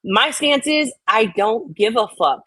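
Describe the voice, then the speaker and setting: A woman's voice: on the high side, very animated, unhurried, a loud crowd. A woman co-hosting a casual chat, reading out and reacting to comments in a blunt, opinionated way with some swearing.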